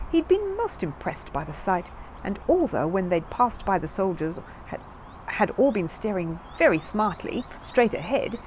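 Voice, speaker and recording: A woman reading a children's book aloud as scripted narration, in an expressive storyteller's manner. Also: mid-range voice, slight background noise, low-quality recording.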